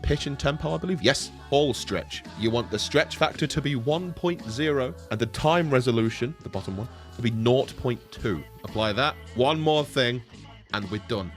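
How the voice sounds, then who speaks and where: A man's voice: medium pitch, expressive, normal speed, faint music behind. A man in his twenties hosting a casual, playful, sweary tutorial, speaking close to a desk microphone in a bedroom. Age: 20s. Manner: playful, sweary, mock-teasing. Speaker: tutorial host. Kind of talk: casual jokey software tutorial. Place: small bedroom, indoors. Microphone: desk boom-arm microphone, close.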